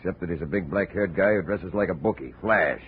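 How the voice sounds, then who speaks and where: An actor playing a nervous, evasive bartender in an old radio drama. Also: male voice, low-pitched, fast, a little hiss or hum, lightly acted. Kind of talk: 1950 radio drama acting. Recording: tinny old radio broadcast.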